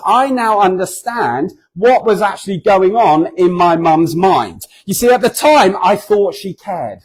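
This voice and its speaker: Southern english guy, 40s, speaking at church, funny and self-deprecating, does little voices in his stories, bit high voiced. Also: poor audio. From southern England. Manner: chatty and self-deprecating, acts out voices in anecdotes. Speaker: guest speaker. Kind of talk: humorous church talk with storytelling.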